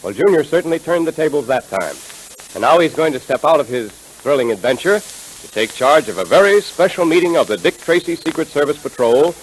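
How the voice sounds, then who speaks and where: A man announcing in 1930s radio style, making an emphatic, enthusiastic scripted pitch to children. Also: expressive, a little fast, poor audio. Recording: hissy 1930s radio recording.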